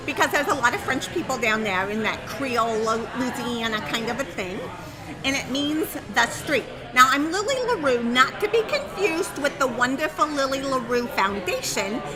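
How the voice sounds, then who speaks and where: Woman doing a rambling high-voiced ditzy makeup artist. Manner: rambling and giggly. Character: chatty, scatterbrained woman makeup artist to the stars. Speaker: comic guest. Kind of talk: improvised comedy talk show bit.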